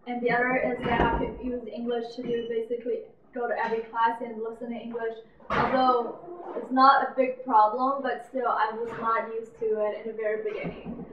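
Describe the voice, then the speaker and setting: A woman gives earnest interview answers in a higher, flat voice. She sounds distant from the mic in an echoey space, and the recording is dull. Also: a little fast, slight background noise. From China.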